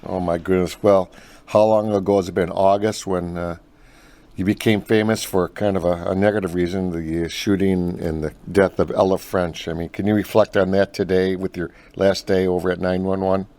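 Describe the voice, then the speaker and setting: Low-pitched American man, friendly interviewer. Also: little pitch movement, moderate pace, a little mellow. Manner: friendly, familiar. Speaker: interviewer, host. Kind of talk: conversational phone interview.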